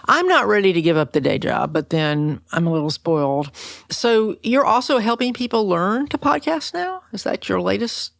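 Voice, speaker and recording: A woman's voice: mid-range voice, lively intonation, moderate pace, gravelly, no echo, clean studio sound. A woman talking conversationally as a podcast interviewer.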